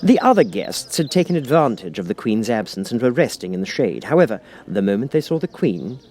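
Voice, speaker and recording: A man's voice: mid-range voice, big pitch swings, brisk, darkish tone, a little hiss or hum. A man reading a storybook aloud as an audiobook narrator. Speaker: audiobook reader.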